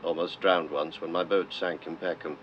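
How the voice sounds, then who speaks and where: Deep-voiced male narrator, old-style documentary. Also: steady tone, normal speed, a bit bright. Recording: dull lo-fi sound with clear noise.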